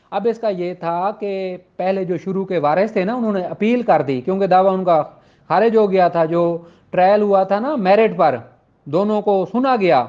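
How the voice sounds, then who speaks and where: Male law teacher, notably high voice, informal and insistent explainer who restates each point, dull low-fidelity sound. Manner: emphatic, repeats points for clarity. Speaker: law lecturer. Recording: dull, lo-fi audio.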